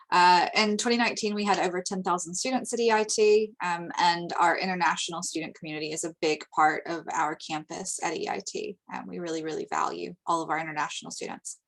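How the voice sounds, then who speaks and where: American woman with a low-ish voice doing an online school presentation, says um a lot, steady and informative. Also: brisk, creaky. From United States. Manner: frequent ums, steady informative delivery. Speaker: international recruitment presenter. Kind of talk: webinar presentation over slides.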